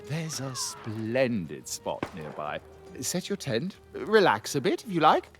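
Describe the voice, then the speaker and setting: An actor playing a gallant, pompous knight commander in a video game, courteous and honor-bound, with a formal, theatrical delivery. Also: male voice, mid-range voice, huge pitch swings, slow pace, music in the background. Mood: hearty, welcoming. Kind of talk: video game character acting.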